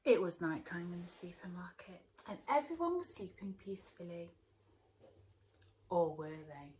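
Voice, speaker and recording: A woman teacher talking to young children and reading them a story aloud in an expressive way. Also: fairly low pitch, normal speed, slight background noise. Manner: expressive, addressing small children. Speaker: early years teacher.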